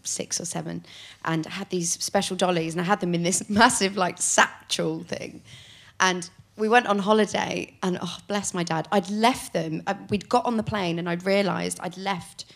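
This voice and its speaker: Giggly low-voiced woman in her late twenties. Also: creaky. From England. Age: late 20s. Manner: chatty, giggly, rambling storytelling. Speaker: podcast guest. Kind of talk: casual chat.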